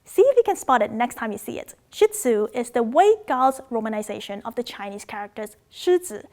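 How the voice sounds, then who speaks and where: A woman from Macau, raised in Australia, hosting a scripted educational video about words and languages in an upbeat, playful way. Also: brisk. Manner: upbeat, playful, with excited jumps in pitch.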